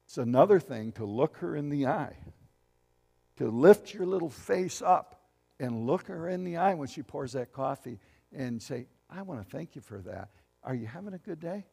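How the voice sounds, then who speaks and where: A man's voice: mid-range voice, gravelly, darkish tone, big-room sound. A man in his seventies preaching a sermon as pastor, reading scripture aloud and asking the congregation questions. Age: 70s. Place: church sanctuary.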